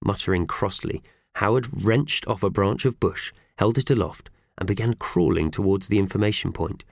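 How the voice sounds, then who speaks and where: A man narrating a children's audiobook in an animated storytelling style. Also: fairly low pitch, normal speed, gravelly, dry recording, studio quality.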